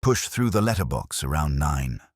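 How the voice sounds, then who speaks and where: Picture a shrewd, wry police inspector in a storybook mystery, read out by a man, asking questions in a quiet, measured way. The voice sits very deep and a little husky. It sounds close and dry. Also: big pitch swings, no room sound, full character voice. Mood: calm. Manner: quiet, measured, lightly probing.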